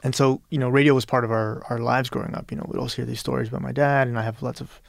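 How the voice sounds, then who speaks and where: A man's voice: mid-range voice, steady tone, racing, vocal fry, no room sound, studio quality. A man from Peru talking as an interview guest, rambling with many restarts and "you know". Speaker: interview guest, novelist and radio producer. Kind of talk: casual interview conversation.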